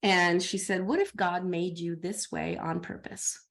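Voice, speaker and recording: A middle-aged woman coach talking in a podcast interview, animated, acting out her own inner dialogue. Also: fairly low pitch, unhurried, vocal fry.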